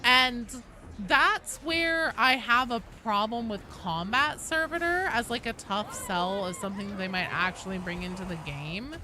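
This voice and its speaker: A woman's voice: on the high side, animated, unhurried, bright, forceful tone. A woman game streamer chatting casually and conversationally over gameplay, with some swearing. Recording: noisy game audio behind the voice.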